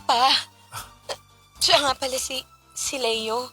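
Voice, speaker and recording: A very high-pitched young Filipina wife acted by a woman in a radio drama, torn and anxious. Her voice is piercingly bright and hesitant, with faint music behind it. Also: fairly even intonation, slow pace, exaggerated voice. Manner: hesitant, distraught, emotional. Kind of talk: Tagalog radio drama acting.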